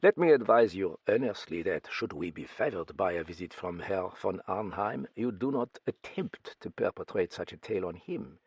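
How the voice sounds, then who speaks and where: A man voicing a calm, wise and tired older investigator who speaks in a measured, formal way. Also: deep voice, husky, no room sound, exaggerated voice.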